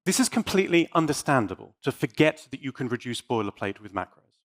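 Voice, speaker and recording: A man's voice: mid-range voice, big pitch swings, fairly quick, a bit bright. A man delivering a prepared conference talk in a theatrical, emphatic and wry manner, with dry jokes. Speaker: conference speaker.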